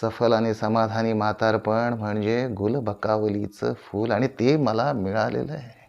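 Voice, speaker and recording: A man acting a proud, aging veteran stage actor in a theatrical monologue, grandiloquent and deliberate, with dramatic pauses and repeated phrases. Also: lowish voice, steady tone, gravelly, darkish tone, muffled recording. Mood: subdued, reflective. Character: proud, aging veteran Marathi stage tragedian addressing his audience.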